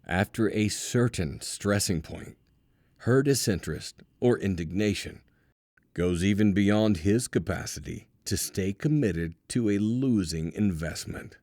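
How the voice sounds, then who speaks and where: Male narrator, low-pitched and unhurried, for scripted nonfiction audiobook; deliberate emphasis, dry studio sound. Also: darkish tone, dry recording. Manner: deliberate, dramatic pauses and emphasis.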